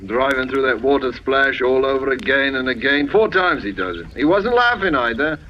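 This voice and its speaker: A man's voice: medium pitch, lively intonation, moderate pace, lightly acted. A man playing a chatty, gossipy countryman in an old radio drama, telling things in rambling colloquial talk. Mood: gossipy, puzzled. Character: chatty, folksy countryman witness, amused and gossipy. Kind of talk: 1940s radio drama acting. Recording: dull, slightly noisy old radio recording.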